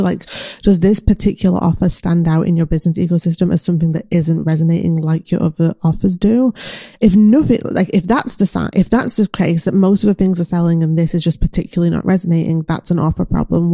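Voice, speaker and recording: A woman hosting a solo podcast, answering listener questions in a gentle, encouraging way while croaky from recovering from an illness. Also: fairly low pitch, dry recording, studio quality. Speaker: podcast host and business coach. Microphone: close mic, audio only.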